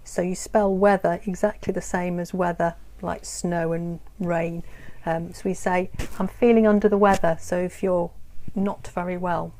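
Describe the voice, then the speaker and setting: A woman teaching English in a live online lesson, explaining slowly and patiently with many pauses and ums. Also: on the low side, fairly even intonation, mellow, a little hiss or hum, poor audio. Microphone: phone or computer on a live stream.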